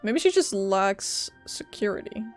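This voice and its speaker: A woman's voice: medium pitch, very animated, unhurried, soft background music. A young woman game streamer reacting casually to a story as she plays, in a playful, slangy way. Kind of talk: casual live gameplay reaction.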